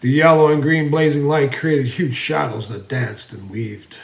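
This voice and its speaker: A man narrating a fantasy story theatrically, swinging between emphatic and hushed delivery. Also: on the high side, fairly even intonation, a little slow, vocal fry. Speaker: storyteller reading own fantasy series. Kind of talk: dramatic fantasy audiobook narration. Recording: roomy, echoing voice recording.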